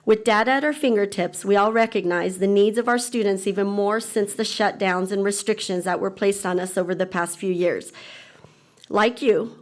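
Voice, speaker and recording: A woman teacher giving an earnest, measured public comment at a school board meeting, reading a formal statement into a podium microphone. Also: mid-range voice.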